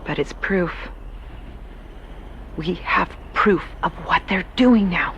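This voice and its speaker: A woman's voice: on the low side, slow, a bit bright, highs cut off. A woman playing a desperate explorer recording a log of a grim discovery in an audio drama. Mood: weary awe. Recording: noisy field recording, machinery-like ambience.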